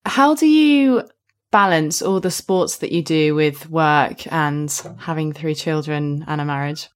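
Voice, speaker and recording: A woman's voice: low-pitched, measured pace. A woman hosting a podcast, introducing her guest and asking friendly, conversational questions. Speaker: podcast host.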